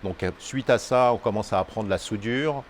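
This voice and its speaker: A male vocational teacher speaks in a lowish voice, stopping often on filler sounds. Faint room ambience sits behind him. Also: moderate pace, slight background noise. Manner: hesitant, many fillers. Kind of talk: school video interview.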